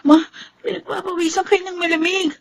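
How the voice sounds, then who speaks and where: A woman's voice: very high voice, flat delivery, a little fast, gravelly. A woman acting a devoted, distraught young daughter in a Filipino radio drama, with a tearful, pleading delivery. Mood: worried, panicky. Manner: tearful, pleading, emotionally heightened.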